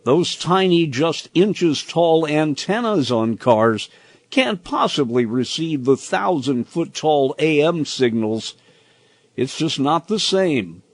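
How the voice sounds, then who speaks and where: An older American man reading a scripted, nostalgic personal commentary in a deliberate, expressive storytelling manner. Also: on the high side, no echo. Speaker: radio commentator.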